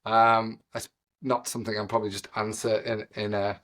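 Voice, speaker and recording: A man's voice: on the low side, little pitch movement, a bit bright. Rambling Geordie man in his forties. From North East England. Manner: rambling, full of "you know" and filler pauses. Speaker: guest. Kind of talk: casual podcast conversation.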